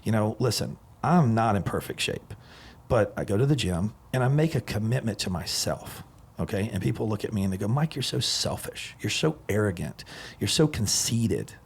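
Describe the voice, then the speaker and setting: A low, husky man in his forties hosts a relaxed podcast chat. He tells long stories with eager enthusiasm and winds from one thought to the next. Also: normal speed. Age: 40s. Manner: enthusiastic, rambling storyteller. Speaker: podcast host. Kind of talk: casual chat.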